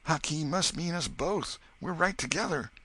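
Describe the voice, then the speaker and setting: A man voicing an excitable young boy, bold yet superstitious, whispering urgently in rustic dialect. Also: medium pitch, moderate pace, slightly rough, pitched above the performer's own voice, full character voice.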